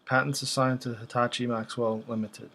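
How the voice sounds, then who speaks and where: A man talks casually through examples in a flat, even voice. His delivery is unscripted and halting, as if thinking aloud while he browses, with very little rise or fall in pitch. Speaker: video host.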